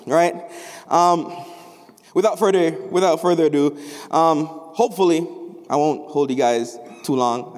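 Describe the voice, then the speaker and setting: A young man from Jamaica delivers a chapel sermon in a somewhat high voice. His phrasing is animated and rises on emphatic words. The sound carries a long reverb from the hall. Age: young adult. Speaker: student preacher. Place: large chapel.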